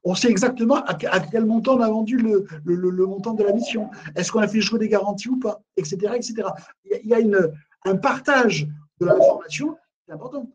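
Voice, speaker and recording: Guy giving a webinar over a video call, kinda husky and a bit high, lots of repeats and filler tags. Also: quick pace, poor audio. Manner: emphatic, repeats words, frequent "en fait, hein" fillers. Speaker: HR consultant presenting a webinar. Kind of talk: live webinar talk on management.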